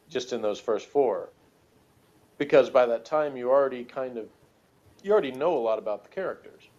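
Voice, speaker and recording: A man talks casually as co-host of a review show. He feels his way through his explanations, often qualifying them, at a middle pitch. The sound is a little dull and lo-fi. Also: animated, measured pace, pauses often, darkish tone.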